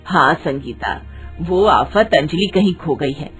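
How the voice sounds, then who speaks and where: A woman's voice: low voice, expressive, slow, faint music behind, voice pushed down. A woman voicing a scheming middle-aged mother who talks in a conspiratorial, insistent way.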